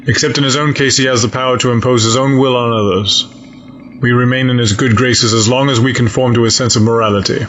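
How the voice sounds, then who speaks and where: Stern male admiral, played by a man for audio drama: measured, formal, mid-low register, muffled slightly noisy recording. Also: steady tone, a bit bright, lightly acted. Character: stern, grave, coldly logical male fleet admiral. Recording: dull lo-fi sound, audible noise.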